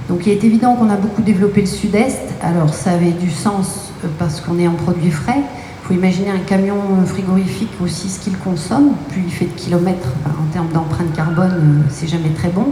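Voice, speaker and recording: A woman with a low, mellow voice explains her business at length, pausing often on fillers. The sound is roomy, with a long reverb and faint noise behind her. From Brittany, France. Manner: explanatory, many hesitation fillers. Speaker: guest, company co-owner. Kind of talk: radio interview answers. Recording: echoey room with faint noise.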